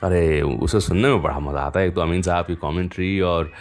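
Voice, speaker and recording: A man's voice: low-pitched, a little fast. A man hosting a radio show, chatting to listeners and telling anecdotes in a conversational way with frequent asides. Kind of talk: conversational radio presenting and storytelling between songs.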